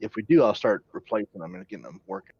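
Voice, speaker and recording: A man's voice: fairly low pitch, lively intonation, quick pace, husky, no echo. A man speaking casually in character as a player in an online roleplaying game. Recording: dull, dry online voice chat.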